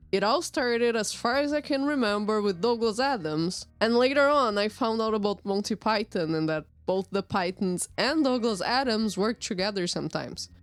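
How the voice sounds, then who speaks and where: Wry woman artist, native Portuguese speaker. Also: on the high side. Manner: relaxed, wry, self-deprecating. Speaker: artist and channel host. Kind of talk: voice-over narration over drawing timelapse.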